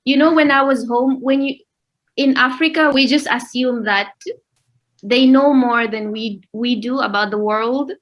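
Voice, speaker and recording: A young adult woman from Namibia, a guest in a casual interview who explains things with pauses. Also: fairly high pitch, dull audio. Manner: relaxed, explains with pauses and laughs.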